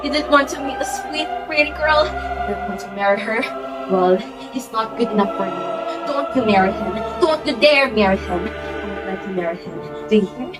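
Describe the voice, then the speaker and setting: A woman's voice: mid-range voice, animated, normal speed, over loud music, natural voice, rough recording. A teenage girl playing an imperious, easily exasperated society hostess in an amateur play, with a haughty, melodramatic delivery. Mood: indignant, dramatic. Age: teens. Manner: melodramatic, haughty, repetitive exclamations. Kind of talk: amateur stage-play acting.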